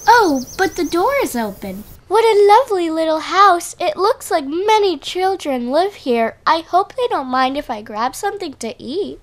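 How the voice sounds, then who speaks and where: A woman voicing a sweet, naive young maiden princess, gentle and wide-eyed in a children's storybook tale. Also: very high-pitched, sing-song, normal speed, few pauses. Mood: wondering. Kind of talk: children's storybook play with dolls.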